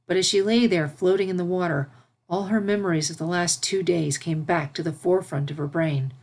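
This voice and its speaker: A woman narrates fiction from a script. Her voice sits a little on the low side, and she reads as a storyteller. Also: a little fast, darkish tone. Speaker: fan fiction reader and narrator.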